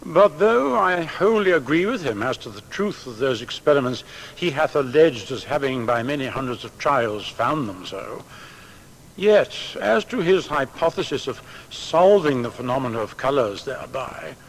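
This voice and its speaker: An actor playing a tactless, critical seventeenth-century experimenter, delivering a formal, argumentative letter. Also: male voice, medium pitch, a little hiss or hum, close to an everyday voice, low-quality recording. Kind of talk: dramatised reading of a period letter.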